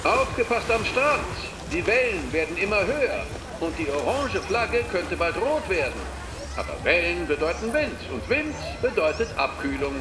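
High, animated campsite announcer. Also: male voice, moderate pace. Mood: upbeat. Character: chirpy campsite announcer, brisk and over-cheerful. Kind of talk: public address announcement in an audio drama. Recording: echoing outdoor loudspeaker with clear noise.

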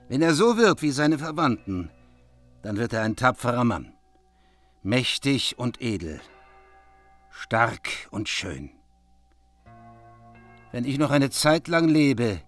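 A man's voice: medium pitch, fairly bright tone, full character voice. A proud, gracious medieval king in a radio play. His solemn declamation rises and falls widely, over faint music. He speaks like a doting father and a formal host.

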